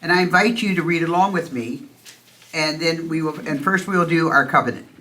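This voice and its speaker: Bright, chatty woman hosting a service. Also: low voice, fairly quick, rough recording. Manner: chatty, self-deprecating, loose with fillers. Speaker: host. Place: church meeting room. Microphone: stand microphone.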